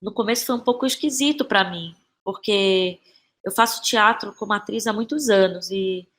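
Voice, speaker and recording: A Brazilian woman talks as a guest in a podcast conversation, her voice at an average pitch. She is reflective and halting, often circling back over a phrase as she thinks it through. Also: fairly bright tone. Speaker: interview guest, playwright and actress.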